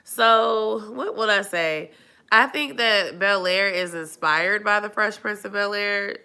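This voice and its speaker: A woman's voice: medium pitch, slow, pushed and bright, smooth. A woman chatting casually on a live stream, nasal and stuffy from a cold, rambling with lots of fillers. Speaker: vlogger answering live chat.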